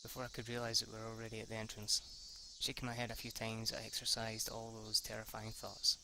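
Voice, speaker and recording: A man reading visual novel narration aloud for a let's play, a bit halting, with sweary asides. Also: lowish voice, completely flat delivery, creaky, background noise. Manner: halting, stumbles over words, sweary asides.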